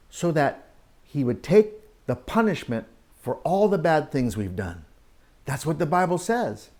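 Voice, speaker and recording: A man reads and talks to young children as a story-time teacher. His pitch moves up and down expressively, and his delivery is unhurried, with dramatic pauses. He keeps putting simple questions to his listeners. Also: darkish tone. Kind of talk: animated storybook reading and children's Bible talk.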